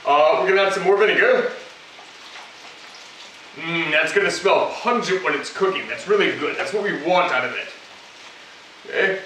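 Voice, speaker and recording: High, bright man in an echoey kitchen. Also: normal speed, pauses often, a little hiss or hum. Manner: chatty, instructive, with joking asides. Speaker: cooking show host. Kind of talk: casual cooking demonstration. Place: home kitchen, indoors. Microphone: camera mic from across the room. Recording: echoey kitchen, mic far off.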